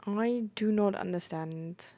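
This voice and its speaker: A young woman chatting casually on a live stream, full of fillers and quick reactions to chat. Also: medium pitch, dark tone. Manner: chatty, filler-heavy, reacts aloud to chat with surprise and laughter. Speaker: streamer and peer support coach.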